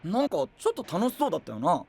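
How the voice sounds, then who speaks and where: A man's voice: high voice, huge pitch swings, fast, gravelly, a little mellow, slightly rough, exaggerated voice. A man playing an earnest, easygoing young hero in an anime voice drama, with a casual, open, boyish delivery. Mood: friendly, earnest. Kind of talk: Japanese anime voice drama acting. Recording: music behind the voice.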